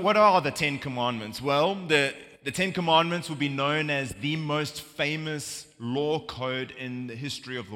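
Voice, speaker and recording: A man's voice: medium pitch, pushed and bright. A man with a South African accent preaching a sermon as pastor, chatty and joking, then solemn when reading scripture. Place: large church hall. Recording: long reverb of a large hall.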